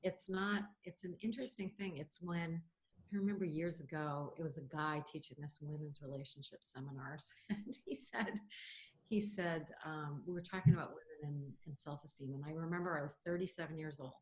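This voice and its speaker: Middle-aged woman podcast co-host, lower voice, reflective and chatty, quick laughs. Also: a little hiss or hum. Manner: thoughtful, musing, laughs often. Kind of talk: casual reflective chat.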